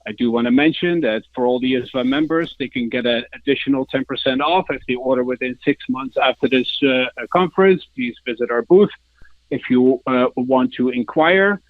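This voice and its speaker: Male guest, Dutch accent, halting delivery pitching a product in an interview; dull, band-limited call sound with little room. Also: no room sound. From Netherlands. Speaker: sales director, interview guest.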